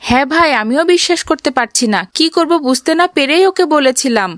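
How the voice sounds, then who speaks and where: A woman's voice: sits high, animated, a little fast, a bit bright, no echo, clean studio sound. A woman voicing a pious, kind young boy in a children's cartoon, talking earnestly and patiently.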